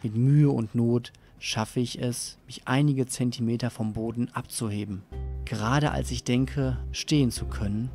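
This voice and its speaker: A man narrates an audio drama in the first person, reading from a script. His mid-pitched voice sits under clearly audible music. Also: steady tone, unhurried. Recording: loud music over the voice. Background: loud music.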